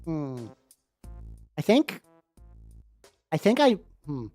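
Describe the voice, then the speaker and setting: A livestream host with a very animated, swooping delivery and a mid-pitched voice, recorded dry and close. The talk is casual and rambling, full of slang, swearing and repeated phrases, with music playing faintly behind. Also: extremely slow, no echo. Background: background music.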